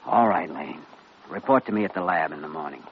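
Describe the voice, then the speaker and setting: A man acting an earnest, principled young doctor in an old-time radio drama, measured, firm and sincere. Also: rumbling low, lively intonation. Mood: stern, concerned. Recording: muffled, noisy vintage radio recording.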